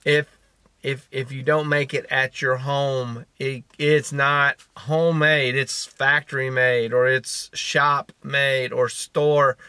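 A man's voice: mid-range voice, flat delivery, takes it slowly, sharp tone, smooth, no echo. A man in his fifties chatting casually to a vlog in a rambling, wry, self-deprecating way, recorded in a car. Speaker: vlogger. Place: inside a parked or moving car.

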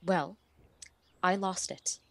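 A woman's voice: on the low side, takes it slowly, creaky, no room sound. An actress in a radio play playing a weary, hardened woman who speaks plainly and earnestly. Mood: resolute, confessing. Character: weary, hardened woman worn down by years of debt.

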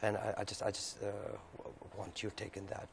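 A middle-aged man interviewing formally on television, halting and repeating words as he forms his questions. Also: lowish voice, gravelly. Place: indoor TV studio. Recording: faint steady background noise.

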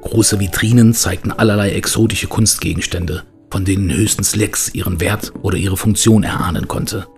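An actor as a male narrator of an adventure audio drama. His voice is deep and he tells the tale in measured, scripted storybook sentences over soft music. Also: fairly even intonation, close to an everyday voice. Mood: measured storytelling.

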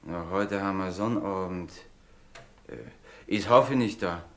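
A man's voice: deep voice, measured pace, fairly bright tone. A man playing a jovial, blunt village blacksmith in a German radio drama, talking in a folksy, hearty way. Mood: chatty. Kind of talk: mid-century German radio drama acting. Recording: 1960s radio play with music behind.

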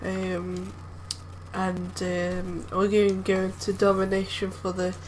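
A woman talking casually over gameplay, soft-spoken and hesitant, with lots of ums and groans. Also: mid-range voice, monotone, takes it slowly, low-quality recording. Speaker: gameplay commentator. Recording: loud background noise from the game. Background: game sounds.

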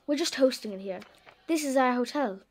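A headstrong young girl, speaks in a very high, lively voice. She is emphatic and friendly, with big swings of pitch, in the manner of a children's audio drama. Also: brisk. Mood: friendly, bossy. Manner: expressive, emphatic.

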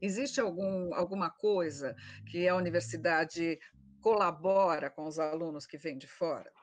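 A middle-aged woman with a Brazilian Portuguese accent, a professor giving measured, reassuring advice on a video call from home. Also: on the low side, dry recording, soft background music. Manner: measured, advising, repeats reassurances. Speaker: nursing professor. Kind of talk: advice in a live video panel.

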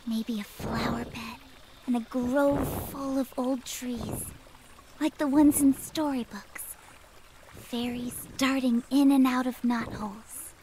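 A woman's voice: a little high, fairly even intonation, takes it slowly. A voice actress playing a dreamy, self-scolding young girl in a visual novel. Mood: dreamy, soft. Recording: clear noise from gameplay capture.

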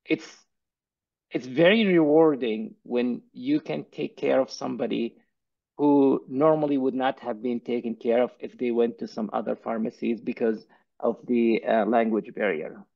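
A man's voice: medium pitch, fairly even intonation, normal speed, vocal fry. A man whose first language is Arabic, a pharmacist speaking earnestly as an interview guest over a headset on a video call, often restarting and repeating words. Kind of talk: conversational interview. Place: office. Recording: dull lo-fi video call audio.

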